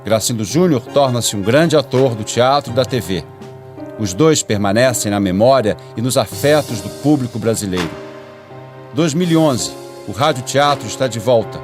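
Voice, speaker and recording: A man's voice: medium pitch, lively intonation, normal speed. A man with a Brazilian accent delivering scripted documentary narration as a radio actor. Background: music under the voice.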